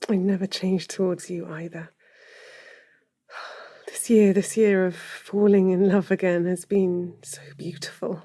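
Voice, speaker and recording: An actress playing an earnest, lovelorn woman in an audio drama, with a breathy voice and intimate delivery. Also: lowish voice, fairly even intonation, unhurried, mellow. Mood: earnest, resolute. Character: earnest, lovelorn woman pleading for her love. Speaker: actor.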